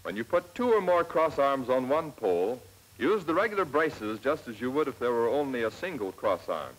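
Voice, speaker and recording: An American man narrating a 1940s military instructional film in a plain, measured, step-by-step manner, on an old film soundtrack. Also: mid-range voice, very animated, poor audio. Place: scripted voice-over for film.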